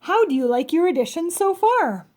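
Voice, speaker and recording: A woman's voice: very high voice, sing-song, moderate pace, darkish tone, pitched above the performer's own voice. A woman voicing an earnest, cheerful, literal-minded woman helper in a children's storybook.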